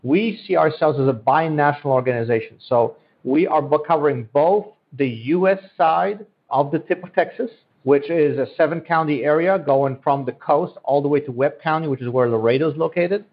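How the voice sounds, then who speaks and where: An earnest man from Central Europe, a native Polish speaker, speaks in a slightly high voice. He pitches his points with promotional zeal and returns to the same phrases. The recording sounds dull and lo-fi. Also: moderate pace. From Central Europe, born in Poland, raised in Germany. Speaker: economic development guest. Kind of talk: podcast interview answers. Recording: dull, lo-fi audio.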